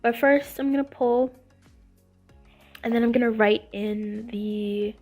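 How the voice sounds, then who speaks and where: A woman's voice: a little high, steady tone, slow, darkish tone, soft background music. A woman hosting her channel, with a sniffly, congested voice, chatting casually through a tutorial and rambling with fillers and restarts. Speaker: channel host.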